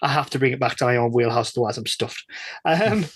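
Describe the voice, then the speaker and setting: A man's voice: medium pitch, lively intonation, quick pace, husky. A man with a British accent interviewing casually, wordy and tentative, repeating words as he builds his questions. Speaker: podcast interviewer, stand-in host.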